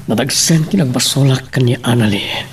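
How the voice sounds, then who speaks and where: A man's voice: mid-range voice, normal speed, close to an everyday voice. A man playing a remorseful father in an Ilocano audio drama, with heavy, regretful delivery. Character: remorseful father, burdened by guilt, asking forgiveness. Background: music.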